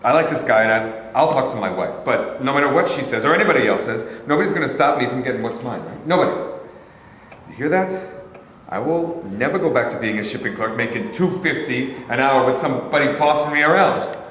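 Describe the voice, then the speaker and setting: Male actor as a fast-talking, stubborn young boxer. Staged reading, echoey studio, camera audio. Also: medium pitch, animated, fairly bright tone, a little hiss or hum, lightly acted. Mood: defiant. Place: rehearsal studio, indoors.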